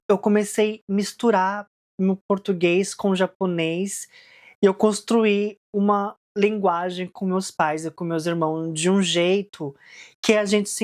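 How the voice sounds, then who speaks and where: A woman's voice: mid-range voice, a little slow, a little mellow. A woman in her twenties who grew up in Japan, casually and reflectively telling her life story in a vlog, with frequent fillers. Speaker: vlogger.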